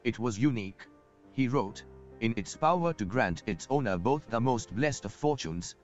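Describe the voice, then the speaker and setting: A man's voice: medium pitch, expressive, moderate pace, close to an everyday voice. Man acting a courtly merchant, muffled, over music. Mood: solemn, reflective. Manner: formal, eloquent, archaic phrasing. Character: courtly, self-assured wealthy merchant, risen from poverty. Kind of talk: scripted video game dialogue. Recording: muffled game audio with background music.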